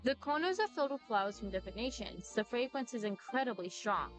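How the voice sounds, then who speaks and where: A woman's voice: on the high side, expressive, quick pace. A woman game streamer chatting casually and reading dialogue aloud, sometimes stumbling over the words. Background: game music.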